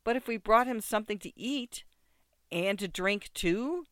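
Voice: medium pitch, lively intonation, a little slow, a bit bright, no echo, studio quality, pitched above the performer's own voice. A narrator voicing a distraught, headstrong young wife in a storybook, exclaiming, pleading and reproaching. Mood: pleading, reproachful.

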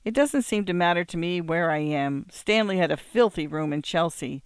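A woman's voice: fairly low pitch, lively intonation, normal speed, clean tone, no room sound, studio quality, natural voice. A woman reading aloud, voicing a gushing, clingy woman who calls everyone darling. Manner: gushing, says darling often. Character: clingy, flighty old woman friend Joan.

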